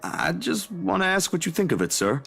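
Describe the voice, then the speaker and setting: A man's voice: high-pitched, very animated, normal speed, gravelly, bright, forceful tone, husky, faint music behind, pitched above the performer's own voice, full character voice. A man voicing an earnest young male reporter who asks polite questions.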